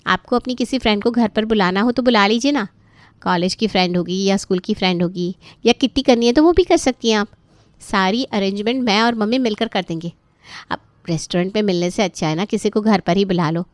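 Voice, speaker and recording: A woman's voice: medium pitch, fairly quick, no echo, close to an everyday voice. A woman voicing a chirpy, cheeky grown-up daughter in a story, with a breezy, persuasive manner. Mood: breezy persuasion. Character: chirpy, cheeky adult daughter and sister-in-law.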